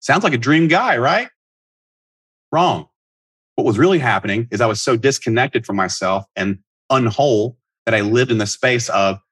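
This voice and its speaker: A man in his thirties delivers scripted self-help advice like a podcast coach. His voice sits fairly low with a husky grain. He is earnest and instructive, stressing his points firmly, and the sound is very dry with no room around it. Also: fairly bright tone, no echo. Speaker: podcast host and life coach.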